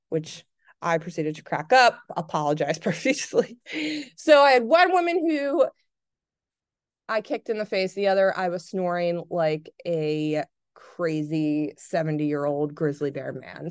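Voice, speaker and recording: Young female podcast host, chatty comic storyteller, lowish register, very dry sound. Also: clean tone, dry recording. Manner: chatty, exaggerating for comic effect. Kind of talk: casual personal storytelling.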